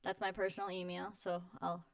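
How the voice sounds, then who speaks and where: A woman's voice: flat delivery, gravelly, no room sound. Young woman in an interview, super bubbly and chatty, laughs easily, dry close sound. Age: 20s. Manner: bubbly, high-energy, effusive, quick to laugh. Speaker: interview guest, home warranty company representative. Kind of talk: casual chat.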